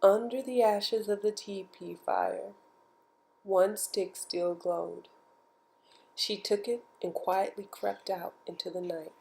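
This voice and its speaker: A woman reading a bedtime story aloud in an expressive, measured storytelling manner. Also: medium pitch, creaky, rough recording. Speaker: storybook reader. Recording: music behind the voice. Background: music.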